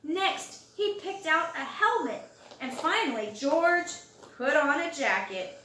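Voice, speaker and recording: A woman reads a children's storybook aloud in an expressive way, drawing out words for young listeners. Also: sits high, a little hiss or hum. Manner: expressive, drawn-out words and loud acted exclamations for young listeners. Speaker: storybook reader. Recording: roomy home recording, distant mic.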